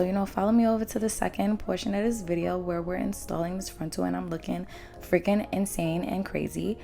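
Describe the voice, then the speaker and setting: Hoarse low-voiced woman vlogger, gravelly. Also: mellow. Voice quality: naturally low voice. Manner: chatty, blunt, slangy. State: hoarse, lost voice after drinking. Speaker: beauty vlogger. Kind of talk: casual hair tutorial talk. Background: faint background music.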